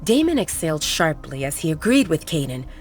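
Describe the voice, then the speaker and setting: A woman reads a romance audiobook in a scripted storytelling style. Her voice is lower than most women's, yet it rises and falls expressively. Faint music plays underneath. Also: very animated, a little fast. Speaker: narrator.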